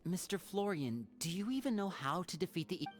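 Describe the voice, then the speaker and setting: A woman's voice: lowish voice, expressive, a little fast, natural voice. A woman playing a poised, polite young lady with theatrical flair in a video game, refined and musing.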